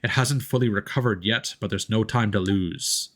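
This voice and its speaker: A man voicing a wise, gentle old forest spirit guardian from a video game, with formal, measured speech. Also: on the low side. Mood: solemn.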